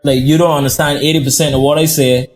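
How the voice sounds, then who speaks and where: A man, talking as a guest chef in a relaxed interview, with a voice pitched a little higher than most men's. He tells his stories with animation and rushes some phrases out quickly, while faint music plays underneath. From Barbados. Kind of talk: casual interview chat.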